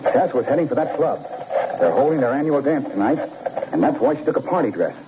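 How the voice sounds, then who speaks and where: An actor playing a brisk, determined, no-nonsense police detective in an old radio drama. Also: male voice, mid-range voice, sing-song, close to an everyday voice. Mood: resolute, wry. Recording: muffled old radio recording, faint noise.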